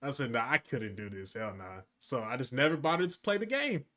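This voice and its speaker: Animated man reacting casually. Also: mid-range voice, brisk. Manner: slangy, profane, exclamatory. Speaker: reaction streamer.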